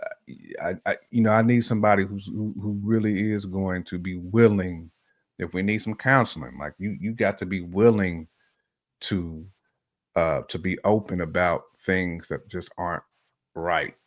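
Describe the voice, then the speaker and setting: Deep-voiced man in casual, emphatic talk. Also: moderate pace, lo-fi sound. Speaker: podcast guest. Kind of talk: casual conversational opinion talk.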